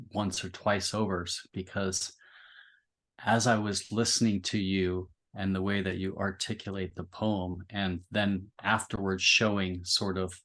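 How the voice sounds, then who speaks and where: A man in a thoughtful literary discussion, speaking reflectively and feeling his way through roundabout, halting phrasing. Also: sits low, fairly bright tone. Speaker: podcast discussion participant.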